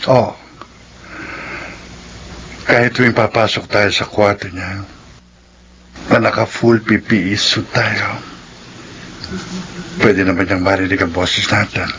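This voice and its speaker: A man playing a serious, caring doctor who confides gravely in a radio drama. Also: fairly low pitch, fairly even intonation, takes it slowly, long pauses, fairly bright tone, slight background noise, rough recording. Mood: encouraging. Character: earnest caring male doctor confiding a secret. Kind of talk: Tagalog radio drama acting.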